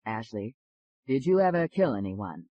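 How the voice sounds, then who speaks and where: A woman narrator plays a male doctor practicing hypnosis, with a lowered voice. He is calm and unhurried, coaxing and reassuring in an audiobook reading. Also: no room sound, full character voice. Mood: probing.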